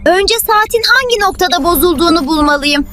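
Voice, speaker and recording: A woman's voice: very high-pitched, expressive, brisk. A woman voicing a young, determined problem solver in a children's educational cartoon, speaking in an earnest, instructive way. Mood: concerned.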